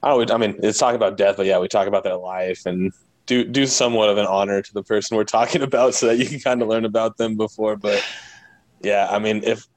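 A man's voice: lowish voice, fairly even intonation, fast, no room sound. A man chatting casually as a podcast guest, explaining things in an easygoing, informal way. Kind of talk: casual chat.

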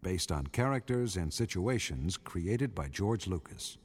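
A man narrating a scripted radio drama in a measured, solemn manner. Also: sits low, animated, husky, no room sound, studio quality. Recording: music under the voice.

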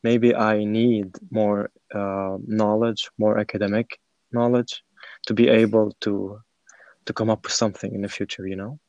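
A man, telling his story in a halting, reflective way as a podcast guest. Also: on the low side, little pitch movement, darkish tone, no echo, highs cut off. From Syria. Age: 20s. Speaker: podcast guest, former startup program participant. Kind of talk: conversational personal storytelling in an interview.

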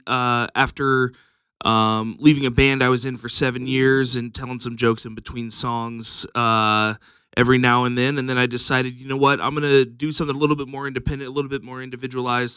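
A man who runs comedy showcases, chatting casually on a podcast and pausing often with fillers. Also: mid-range voice, flat delivery, sharp tone, clean tone, no echo, clean studio sound. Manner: hesitant, many fillers.

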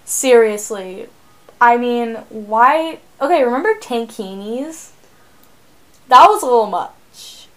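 A teenage girl co-hosting a podcast, chatting casually in an animated, rambling way, often laughing. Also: fairly high pitch, slow pace, rough recording. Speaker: podcast co-host. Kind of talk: casual chat.

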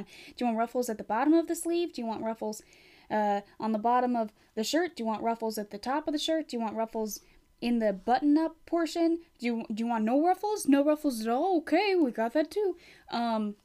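A woman's voice: a little high, darkish tone. Rambling chatty woman podcaster. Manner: rambling, with many fillers and restarts; frank and chatty, with casual swearing. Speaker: podcast host. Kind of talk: casual solo podcast chat.

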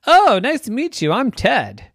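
Someone voicing a high excitable family dog. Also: unhurried, no room sound, clean studio sound, exaggerated voice. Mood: eager. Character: talking family dog, eager and a bit cheeky.

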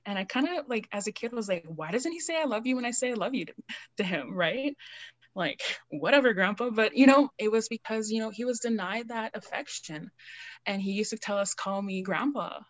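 A woman's voice: medium pitch, animated, brisk, no room sound. Soothing young woman telling earnest stories. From Canada. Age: young adult. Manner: earnest, soothing, storytelling with casual fillers. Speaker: Cree educator, guest. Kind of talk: reflective conversational interview.

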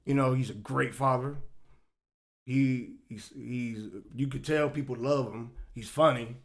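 A man in his forties hosts a loose, joking podcast chat. His voice sits at an ordinary middle pitch, and he tells stories in an easy, animated way. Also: a little slow. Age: 40s. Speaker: podcast host. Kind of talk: casual chat.

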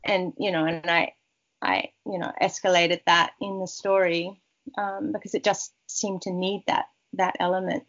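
A woman author from Peru, a Spanish native speaker, talking in a rambling, warm way with many restarts in a casual interview. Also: fairly low pitch, flat delivery, normal speed, lo-fi sound. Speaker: author guest.

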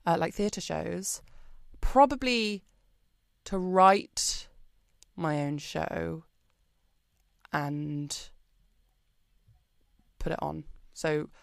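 A British woman being interviewed on a podcast, talking in a chatty, expressive way with plenty of ums and likes. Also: low voice, drawn-out words, gravelly, pauses often, no echo, studio quality. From Britain. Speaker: podcast guest. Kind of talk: casual interview chat.

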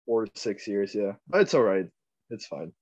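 A young man from Taiwan chats casually as a podcast guest, his voice sitting a little low. He laughs easily and lets his sentences trail off quietly. The audio is slightly dull and lo-fi. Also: big pitch swings, normal speed. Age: young adult. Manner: laid-back, laughs often, trails off quietly. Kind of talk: casual chat.

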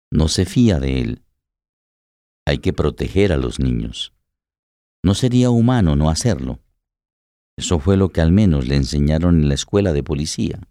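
A man's voice: low voice, dark tone, no echo, studio quality. A man giving a dramatic scripted narration of a radio play. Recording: plucked guitar music under voice.

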